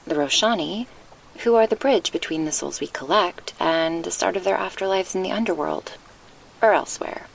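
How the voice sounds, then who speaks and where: A gentle, grieving succubus guardian, acted by a woman in an ASMR roleplay. The voice is fairly low and soft, with a gravelly creak under measured, old-fashioned diction. It sits close on a binaural mic over faint background noise. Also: low-quality recording. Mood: calm, wistful. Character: gentle, wistful female succubus guardian, grieving her lost past. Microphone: close binaural microphone.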